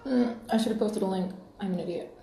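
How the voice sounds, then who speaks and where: A woman's voice: medium pitch, quick pace, gravelly, dark tone. A woman hosting a casual livestream, chatting to listeners in a friendly, rambling way, half to herself. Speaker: livestream host, podfic reader. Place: indoor room at home, rain outside. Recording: roomy echo, faint background noise.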